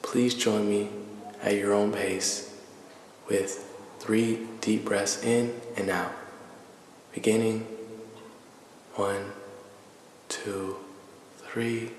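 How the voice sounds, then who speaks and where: Slow, echoing man guiding meditation. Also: on the low side. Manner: calm, deliberate, measured phrases with long pauses. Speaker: meditation guide. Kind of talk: guided meditation, slow and soothing. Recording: echoey reverberant voice with faint noise.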